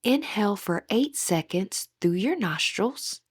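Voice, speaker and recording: Breathy, slow-paced woman guiding meditation. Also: mid-range voice, lively intonation, dry recording, clean studio sound. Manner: gentle, measured instructing. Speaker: guided meditation instructor. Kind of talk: scripted guided meditation narration.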